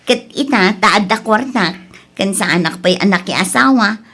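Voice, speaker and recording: A woman's voice: mid-range voice, animated, moderate pace, bright, forceful tone. A woman playing an easygoing, coaxing younger Ilocano woman in a radio comedy drama, with an Ilocano Filipino accent. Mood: cheerful, coaxing.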